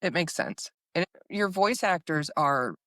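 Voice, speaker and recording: A woman's voice: on the low side, lively intonation, unhurried, a bit bright, dry recording, studio quality. A woman hosting a podcast in casual interview chat, appreciative and encouraging toward her guest. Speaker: podcast host.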